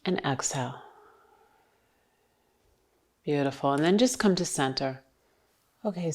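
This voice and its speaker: Slow, low-voiced woman guiding yoga. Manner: calm, encouraging, short unhurried cues with pauses. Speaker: yoga instructor leading a class. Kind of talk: guided yoga and breathing instruction.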